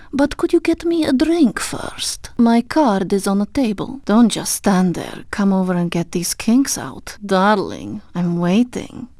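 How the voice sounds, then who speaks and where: An actress playing a sultry, flirtatious seductress in a video game, languid and teasing with purring, drawn-out words. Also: female voice, medium pitch, warm tone, no echo, clean studio sound. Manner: breathy sighs, drawling, teasing.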